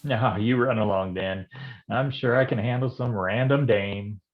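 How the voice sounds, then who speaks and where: An actor playing a world-weary, hard-drinking private detective with slangy, hardboiled delivery in a film noir staged reading. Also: male voice, on the low side, measured pace, phone-quality sound, close to an everyday voice. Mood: gruff, fond.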